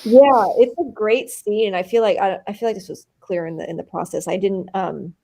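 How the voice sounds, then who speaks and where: A woman's voice: medium pitch, fairly quick, creaky. A woman, an actor, talking animatedly in a live-stream interview and filling her speech with "like". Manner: animated, uses many "like" fillers.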